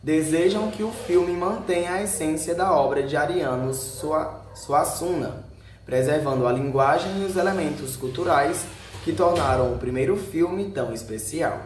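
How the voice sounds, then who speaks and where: A man's voice: fairly high pitch, moderate pace. A Brazilian man reading a scripted narration aloud in short headed sections, occasionally stumbling over a word. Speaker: video narrator. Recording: roomy echo with faint background noise.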